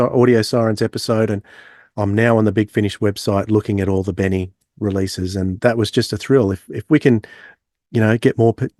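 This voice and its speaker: A man's voice: fairly low pitch, darkish tone, no echo, studio quality. A man co-hosting a podcast, talking conversationally and reading out prepared text. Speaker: podcast co-host. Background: acoustic guitar music.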